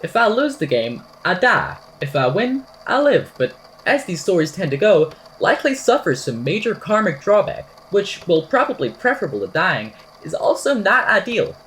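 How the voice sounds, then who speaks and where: Woman playing a wordy, whimsical, low-pitched podcaster. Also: moderate pace, few pauses, slight background noise. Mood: storytelling, wry. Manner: verbose, theatrical, wry deadpan. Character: eccentric, whimsical podcaster recounting a supernatural statement. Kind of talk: amateur audio drama, storytelling monologue.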